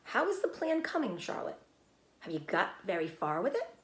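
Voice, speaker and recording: A woman's voice: medium pitch, big pitch swings, normal speed, fairly bright tone, reverberant. A woman reading a children's storybook, voicing an innocent, anxious young male pig who talks in an earnest, childlike way. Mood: eager. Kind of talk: children's storybook read-aloud.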